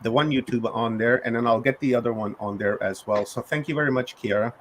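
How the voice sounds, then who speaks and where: A man's voice: medium pitch, steady tone, fast talker, a bit bright, a little hiss or hum. Effusive male host on a video call. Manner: effusive, gushing praise with many fillers. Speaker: conference host. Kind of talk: casual online chat.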